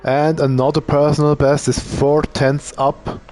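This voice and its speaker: Man, sim racing commentator, unhurried, offhand, faint background hiss. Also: a little high. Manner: relaxed, thinking aloud, with fillers. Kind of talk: live race commentary.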